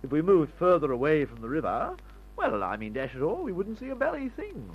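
A man's voice: a little high, wildly swooping pitch, a little fast, breathy edge, heavily acted. An actor playing a breezy upper-class English airman in an old radio adventure serial, drawling and blustering in old-fashioned slang. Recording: dull, muffled old radio recording.

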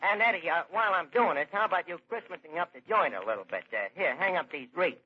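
An actor playing a wisecracking, blustering New York tavern manager in an old-time radio comedy, with slangy, hesitant patter. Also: male voice, sits high, lively intonation, quick pace, slightly rough. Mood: self-important. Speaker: comedy show lead. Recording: dull, noisy old radio recording.

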